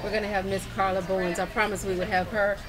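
A woman's voice: mid-range voice, flat delivery, fast talker. A woman hosting an upbeat on-location interview, often echoing and repeating phrases, recorded in a large indoor hall. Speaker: interviewer, show host.

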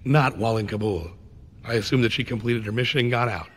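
An actor playing a stoic former Army Ranger and special agent with grave, clipped delivery in a video game. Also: male voice, medium pitch, fast talker, fairly bright tone, natural voice. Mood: grave testimony. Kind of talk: scripted game cutscene acting. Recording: game audio with background noise.

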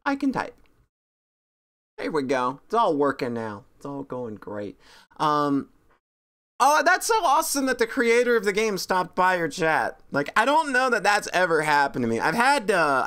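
A woman's voice: medium pitch, fairly bright tone. A woman streaming a game, chatting with viewers in a self-deprecating joking way. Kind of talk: casual game stream chat.

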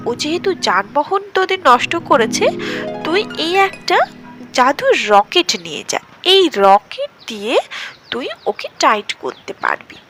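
A woman voicing a helpful holy hermit in a children's cartoon, calm and authoritative. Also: high-pitched, measured pace, faint music behind, full character voice. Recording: clearly noisy background.

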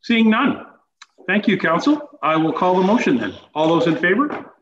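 Casting: a higher-pitched man reading a formal motion, in a roomy space with muffled audio. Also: very animated, normal speed. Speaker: mayor. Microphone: video call. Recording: echoey muffled video call with faint noise.

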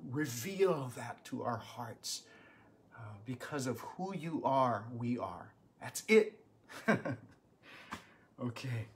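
A man, a pastor teaching a Bible study from home, earnest and animated, with bursts of emphasis. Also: medium pitch. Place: small living room at home.

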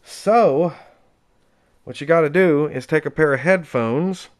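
A man's voice: on the high side, a little slow. A man giving a casual, unscripted, chatty demonstration of equipment, explaining as he goes with dry enthusiasm. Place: indoors at home, close-up tabletop demonstration.

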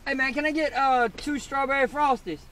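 A man bantering casually and slangily in deadpan jest as he orders from inside a car. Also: very high voice, fairly quick. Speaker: drive-thru customer and prankster. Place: inside a car at drive-thru. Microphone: camera in back seat. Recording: noisy car interior, engine hum.

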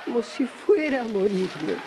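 Frail dying lover for radio soap, Argentine, high breathy voice, noisy old recording. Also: female voice, sing-song. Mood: fading. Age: older. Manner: faint, halting, melodramatic. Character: frail, dying woman, devoted and loving. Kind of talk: classic radio soap opera acting. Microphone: large vintage studio microphone. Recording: noisy archive studio recording.

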